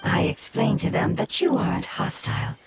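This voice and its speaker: A woman voicing a calm, kind young woman who explains things patiently and reassuringly in a video game. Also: medium pitch, moderate pace, vocal fry, loud music behind the voice. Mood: kind, explanatory.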